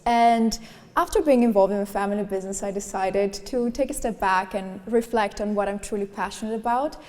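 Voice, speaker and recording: A woman's voice: mid-range voice, brisk, darkish tone. A young woman speaking as a panelist, poised and articulate. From Greece. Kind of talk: panel discussion answers. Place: conference hall on stage. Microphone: handheld mic. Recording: reverberant conference hall.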